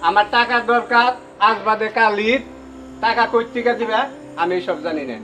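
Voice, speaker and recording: A stern creditor, played by a man, laying down demands in blunt, threatening words. His voice sits very high. Light music plays underneath. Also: moderate pace, bright, forceful tone. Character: stern village moneylender owed for an auto. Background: background music.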